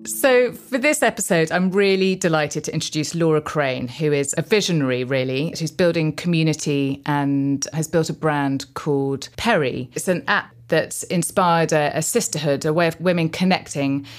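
A woman hosting a podcast interview, speaking in a measured, articulate way. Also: low-pitched. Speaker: podcast host.

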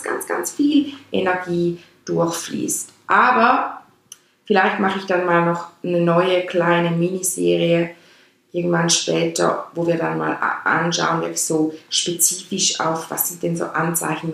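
Swiss woman in her thirties, Swiss German accent, rambling chakra talk, gravelly tone, very echoey room. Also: fairly low pitch. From Switzerland. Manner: rambling, with restarts and digressions. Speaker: chakra teacher and podcast host. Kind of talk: casual spoken explanation.

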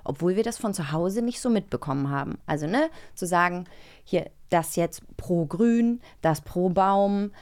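A woman co-hosting a casual magazine talk, expressive and given to banter. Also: fairly low pitch, moderate pace. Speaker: podcast co-host.

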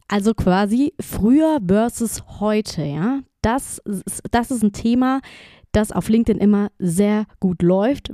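A woman speaks in a mellow, relaxed tone in a dry, close recording. Her voice is slightly congested from a cold. She talks casually as a solo podcast host, addressing listeners directly and dropping in English words. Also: mid-range voice, no echo.

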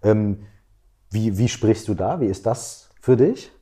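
A middle-aged man hosting a podcast interview, talking in a relaxed, slangy way and thinking out loud with lots of fillers. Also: on the low side, slow pace. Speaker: podcast host, rapper. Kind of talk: casual interview chat.

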